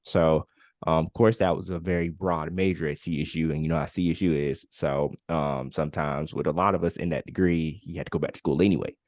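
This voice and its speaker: A man in his late twenties co-hosting a podcast, telling his story in a relaxed, candid way with lots of filler words. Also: extremely low pitch, a little fast, dry recording, clean studio sound. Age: 20s. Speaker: podcast co-host. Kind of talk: casual conversational podcast chat.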